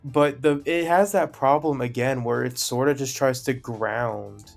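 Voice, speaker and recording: A young man co-hosting a casual podcast, giving measured opinions and hedging often. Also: medium pitch, creaky, soft background music. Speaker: podcast co-host. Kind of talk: casual chat.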